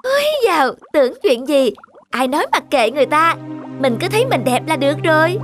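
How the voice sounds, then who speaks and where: Casting: kind, breezy goldfish pal, high voice, encouraging, children's cartoon over music. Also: female voice, sing-song, brisk. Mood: upbeat. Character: kind, upbeat, reassuring goldfish friend.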